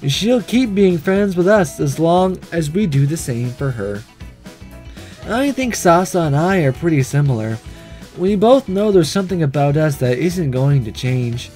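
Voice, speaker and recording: A sleepy, matter-of-fact schoolgirl tells her story in a visual novel, read by a man. The voice sits high for a man and moves up and down a lot, yet stays weary and blunt. Faint music plays behind it. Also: a little mellow. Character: sleepy, detached schoolgirl narrating about her friends.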